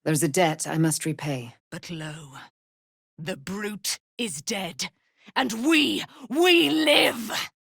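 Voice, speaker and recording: A woman's voice: lowish voice, animated, takes it slowly, gravelly, a bit bright, breathy edge, dry recording, clean studio sound, full character voice. A woman playing a proud, noble immortal warrior in a fantasy video game, with grand, archaic, theatrical speech. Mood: triumphant.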